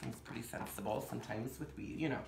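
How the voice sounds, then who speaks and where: A man hosting storytime in drag, reading a picture book aloud theatrically with dramatic gasps, drawn-out words and playful asides. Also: mid-range voice, creaky, a little mellow. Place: indoor room with fabric backdrop. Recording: roomy echo, some background noise.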